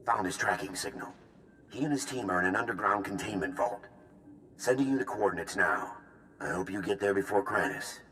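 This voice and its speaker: An actor playing an eager, can-do soldier tech sidekick in a video game, casual in manner. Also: male voice, lowish voice, animated, a little fast, pushed and bright, a little hiss or hum. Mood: focused, helpful. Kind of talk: scripted video game acting. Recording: music behind the voice.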